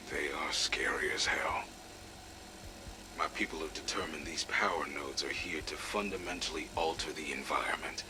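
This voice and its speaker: A man playing a grizzled, blunt veteran security chief in a game audio log, with a gravelly, breathy voice. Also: very deep voice, noisy background. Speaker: military security commander. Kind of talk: acted audio log briefing.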